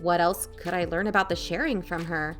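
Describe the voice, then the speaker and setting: A woman's voice: lowish voice, fairly even intonation, fairly quick, fairly bright tone, faint music behind, lightly acted. A woman playing a wary, protective aunt in an audio drama, confiding and dryly skeptical. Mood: wary, wry. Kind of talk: first-person audio drama narration.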